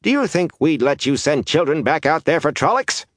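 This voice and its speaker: A man voicing a big, bossy village wise woman with a forceful, scolding manner in an audiobook. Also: on the high side, very animated, moderate pace, no echo, studio quality, voice pushed up. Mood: scornful.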